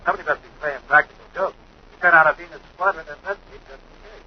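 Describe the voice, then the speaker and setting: An American man playing a dutiful, deferential space patrol captain at headquarters, brisk, in 1950s radio adventure style. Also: a little high, natural voice. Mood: puzzled, deferential. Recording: dull, noisy 1950s radio recording.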